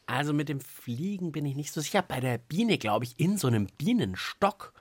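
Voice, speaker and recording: A man's voice: a little high, lively intonation, moderate pace. A man co-hosting a children's podcast in casual, playful chat. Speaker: co-host of a children's science podcast.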